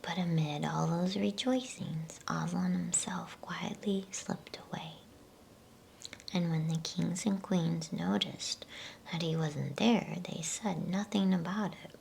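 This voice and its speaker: Woman whispering a slow bedtime story. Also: on the low side, fairly even intonation, a little hiss or hum. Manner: hushed, unhurried, breathy whisper. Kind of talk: whispered ASMR storybook reading.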